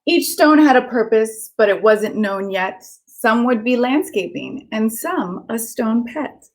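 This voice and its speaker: A woman children's author chatting casually and reading aloud to children, with an excitable manner. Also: a little high, unhurried. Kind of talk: casual chat and animated read-aloud for children.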